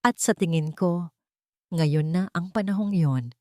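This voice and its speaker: A serious man voiced by a woman narrator speaks with calm authority in an audiobook drama. The voice is low and dryly recorded. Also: measured pace, no room sound, clean studio sound, close to an everyday voice.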